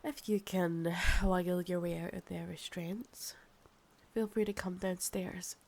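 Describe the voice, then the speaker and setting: Woman acting as a possessive scottish vampire lady, asmr roleplay, low breathy whispery voice, real slow. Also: fairly even intonation. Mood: teasing, doting. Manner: slow, hushed, commanding. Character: possessive, insatiable vampire woman, commanding yet doting.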